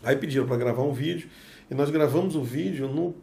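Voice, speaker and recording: A chef from Espírito Santo with a Brazilian Portuguese accent from that state, telling a story as a podcast guest and acting out the dialogue. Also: male voice, mid-range voice, a little fast, creaky. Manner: lively storyteller who acts out the dialogue. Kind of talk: casual anecdote telling.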